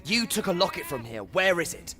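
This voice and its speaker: A determined teenage wizard in a game, fairly high and very varied in pitch. He is earnest, with faint noise behind him. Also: big pitch swings, brisk, pushed and bright, exaggerated voice. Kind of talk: video game voice acting.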